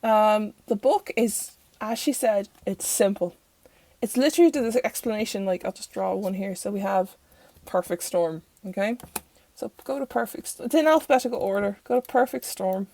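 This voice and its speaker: A young Irish woman with a croaky voice from recent illness, chatting rambly through a casual review in her bedroom. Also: mid-range voice, normal speed, mellow. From Ireland. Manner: chatty and rambling, self-interrupting. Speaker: tarot channel host. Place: bedroom at home.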